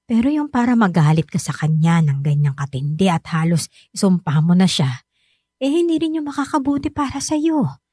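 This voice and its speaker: An actress playing a gentle, sorrowful middle-aged mother who pleads softly and hesitantly with her grown son in a radio drama. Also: on the low side, big pitch swings, moderate pace, husky, no room sound, studio quality. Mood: gently admonishing. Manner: soft, pleading, hesitant confessions.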